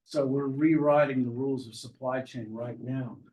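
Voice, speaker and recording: A middle-aged male professor talks his way through classroom introductions at a medium pitch. He is chatty and encouraging, and he often breaks off and restarts his sentences. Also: steady tone, normal speed, darkish tone, low-quality recording. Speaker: marketing professor.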